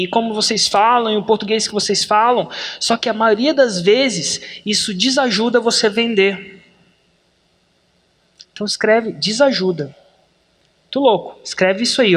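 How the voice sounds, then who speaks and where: Emphatic Brazilian man, host. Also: high-pitched. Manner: energetic, emphatic, slows down to stress points. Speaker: podcast host. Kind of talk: animated business coaching talk.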